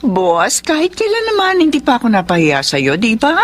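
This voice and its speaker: An actress playing a smooth-talking, scheming female recruiter in a radio drama, coaxing and persuasive. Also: on the high side, huge pitch swings, normal speed. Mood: sly, assured. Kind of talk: Tagalog radio drama acting.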